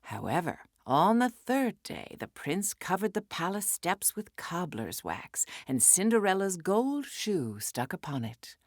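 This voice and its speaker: Brief: woman reader, wry and sardonic, slow deliberate delivery, lower voice with wide swings, dry close sound. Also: sing-song, dry recording. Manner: sardonic, wry, theatrical with dips to whispers. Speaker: reader of a poem. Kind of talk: dramatic poetry reading.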